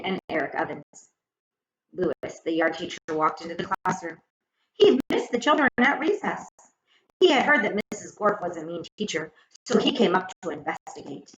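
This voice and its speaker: Female storyteller for children's read-aloud: dramatic, highly varied pitch, careful phrasing, roomy and slightly dull recording. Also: fairly bright tone, a little hiss or hum. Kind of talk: expressive scripted children's story narration. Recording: echoey room, slightly muffled sound.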